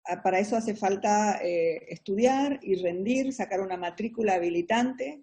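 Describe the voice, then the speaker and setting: A middle-aged Argentine woman with an Argentine Spanish accent, answering interview questions about her business in an explanatory way, often pausing on fillers. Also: medium pitch, warm tone, breathy edge. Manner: explanatory, hesitant with many fillers. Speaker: businesswoman guest.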